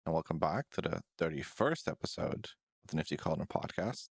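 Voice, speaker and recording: A man hosting a casual podcast, easygoing, chuckling and a bit halting. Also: extremely low pitch, animated, creaky, breathy edge, no room sound, clean studio sound. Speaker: podcast host. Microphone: studio microphone.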